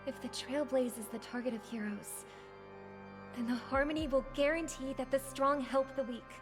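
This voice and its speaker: An actress playing a gentle, resolute young idealist in a video game, speaking with formal, earnest poise. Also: female voice, high voice, steady tone, normal speed, natural voice. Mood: earnest resolve. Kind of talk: scripted video game acting. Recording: game audio over loud music.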